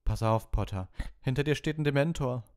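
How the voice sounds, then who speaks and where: A man voicing an arrogant schoolboy bully in a storybook reading, with mocking, drawn-out sarcasm. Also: medium pitch, darkish tone, clean tone, pitched above the performer's own voice, lightly acted. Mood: scornful. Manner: drawling, exaggerated sarcasm.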